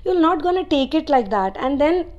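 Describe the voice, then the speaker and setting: A woman's voice: high voice, sing-song, moderate pace, darkish tone, highs cut off. A Nepali-born woman, a spiritual channeler, giving a chatty, rambling talk to her viewers with many "you know" asides.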